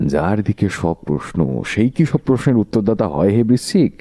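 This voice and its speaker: A man playing a sharp detective in a Bengali audio drama, speaking with rhetorical, theatrical questioning. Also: lowish voice, big pitch swings, normal speed, no echo, clean studio sound. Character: sharp, theatrical male detective unmasking a killer.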